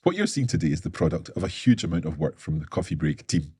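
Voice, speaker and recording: A man's voice: low voice, big pitch swings, quick pace, a little mellow, breathy edge, no echo, studio quality. A man presenting a scripted company announcement with upbeat enthusiasm.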